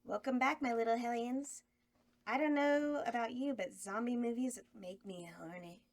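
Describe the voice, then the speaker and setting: An actress voicing a bawdy, campy horror hostess at a table read, drawling innuendo. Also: female voice, on the high side, normal speed, natural voice. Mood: sultry.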